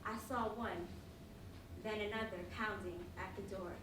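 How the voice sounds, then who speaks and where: A woman acting an anguished, compassionate Roman witness despairing of injustice, with emotional, drawn-out theatrical delivery in a staged reading. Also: medium pitch, steady tone, close to an everyday voice. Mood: grieving. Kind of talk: dramatic monologue in staged reading. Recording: echoey hall, distant mic, faint noise.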